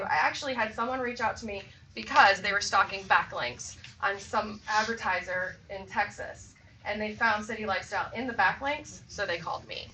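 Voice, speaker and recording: A woman's voice: medium pitch, pushed and bright. A woman with an upbeat, salesy manner answers audience questions as a magazine publisher giving a presentation. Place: event room with audience. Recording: roomy far-off mic, faint noise.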